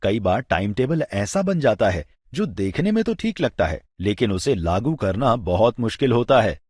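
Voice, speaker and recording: Husky, animated, curious husband. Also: male voice, fairly low pitch, normal speed, dry recording, clean studio sound. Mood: agreeable, thoughtful. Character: good-humoured, curious, agreeable Indian father and husband. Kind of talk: scripted educational audio drama dialogue.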